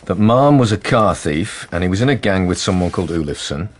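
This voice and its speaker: A man's voice: sits low, expressive, brisk, slight background noise. A man playing a harried, rambling police detective in a radio drama, droll and easily flustered. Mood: dry, matter-of-fact.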